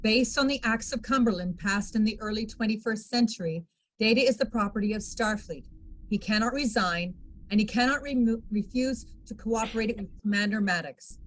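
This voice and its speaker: A woman's voice: a little high, moderate pace, lightly acted. Woman as a firm legal officer, slightly noisy recording. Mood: official. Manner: formal, authoritative. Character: firm, by-the-book female military legal officer. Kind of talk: amateur table read of a sci-fi script. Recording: some background noise.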